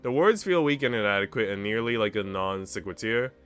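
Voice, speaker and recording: Expressive male streamer reading a visual novel. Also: lowish voice, a little fast, clear, resonant tone, soft background music. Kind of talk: reading game narration aloud with casual commentary.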